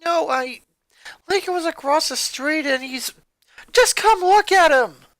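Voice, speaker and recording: A woman's voice: sits high, a little slow, fairly bright tone, no echo, pitched above the performer's own voice. A woman voicing a concerned, feisty young girl in a story reading. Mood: urgent. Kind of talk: fan fiction character voice.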